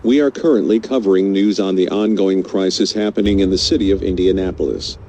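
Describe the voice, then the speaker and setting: A low-pitched male news anchor, acted by a man for an audio drama. He delivers a scripted crisis bulletin in formal, measured phrases, as a radio station's anchor would. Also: fairly even intonation, dark tone, slight background noise. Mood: grave, formal.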